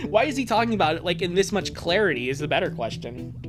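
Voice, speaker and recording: A man's voice: high-pitched, very animated, fast. Sarcastic male streamer over music. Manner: sarcastic, swearing. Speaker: streamer reading fan fiction aloud. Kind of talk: casual live-stream chat.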